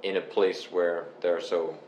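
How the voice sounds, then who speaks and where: Young canadian man, very deep voice, rambling relaxed chat, echoey room with faint hiss. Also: moderate pace. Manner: relaxed, rambling, explains step by step. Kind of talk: casual chat.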